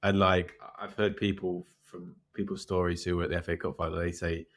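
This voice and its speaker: A man's voice: deep voice, level tone, a little fast. A man with a congested, nasal voice, co-hosting a casual, laid-back football podcast chat. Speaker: podcast co-host.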